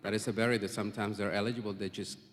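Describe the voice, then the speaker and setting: Earnest low-voiced man testifying. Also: little pitch movement, rapid-fire, fairly bright tone. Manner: earnest, with frequent filler sounds. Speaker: community advocate testifying. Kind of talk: testimony at a public hearing. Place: public hearing in a school building. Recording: long reverb of a hearing hall.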